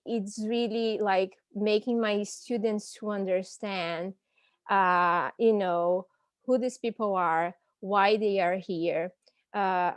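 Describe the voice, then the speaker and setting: A woman's voice: mid-range voice, no room sound, studio quality. A woman from Brazil with a Brazilian Portuguese accent, speaking as a panelist and language teacher on a video call, reflective and searching for her words.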